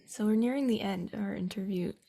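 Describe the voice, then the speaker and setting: A woman's voice: medium pitch, fast talker, vocal fry, dark tone. A woman hosting a podcast, asking interview questions and giving attentive, brief affirmations. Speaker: podcast host.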